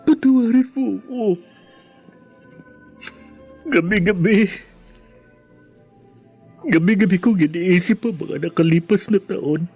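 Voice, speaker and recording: A broken, remorseful Filipino husband in prison. His voice is rough and raised, halting and emotional, over radio drama music. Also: sits high, a little mellow, heavily acted. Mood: tearful remorse. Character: remorseful imprisoned husband and father, broken and ashamed.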